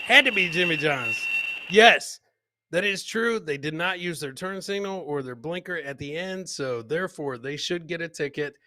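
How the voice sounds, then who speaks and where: A man with a fairly high, sharp, forceful voice hosts a live comedy show. He talks casually to his viewers in crude, joking slang and repeats lines to drive them home. The sound is dry, with very little room in it. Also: no echo. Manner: repeats phrases for emphasis. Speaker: live comedy podcast host. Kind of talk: casual live clip commentary, chatting with viewers.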